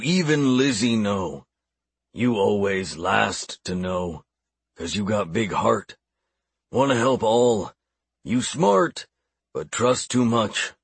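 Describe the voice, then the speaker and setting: A male narrator plays an old orc shaman from a fantasy audiobook, gruff and blunt, with a heavy heart. His grammar is primitive and clipped, and the voice is slightly unclear and recorded very dry. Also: medium pitch, no room sound, heavily acted. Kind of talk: audiobook character voice.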